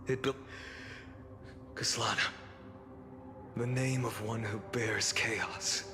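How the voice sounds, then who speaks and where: An actor playing a weary, defiant fallen hero in a video game, solemn and grandiose with bitter scorn. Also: male voice, lowish voice, close to an everyday voice. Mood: solemn farewell. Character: weary, defiant male fallen hero burdened by countless lifetimes. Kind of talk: dramatic scripted video game acting. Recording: loud music behind the voice. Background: game soundtrack music.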